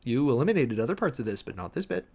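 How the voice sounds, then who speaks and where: A male streamer with an average-pitched voice reads formal text aloud and annotates it as he goes. His commentary is wry and sardonic, and his delivery moves between brisk reading and halting, emphatic remarks. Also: sing-song, darkish tone. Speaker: streamer and preacher giving commentary.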